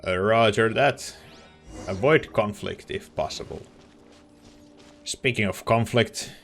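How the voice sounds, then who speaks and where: A man's voice: lowish voice, slow, fairly bright tone. A man doing casual let's play commentary, reading dialogue choices aloud and reacting to the game. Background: game music.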